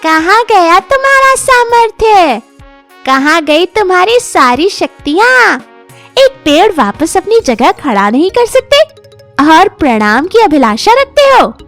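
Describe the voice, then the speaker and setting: A woman's voice: very high voice, big pitch swings, measured pace, fairly bright tone, soft background music, voice pushed up, full character voice. A woman voicing a cheeky, fearless young male monkey who taunts and lectures in a children's animal fable. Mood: taunting. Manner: taunting, challenging, preachy.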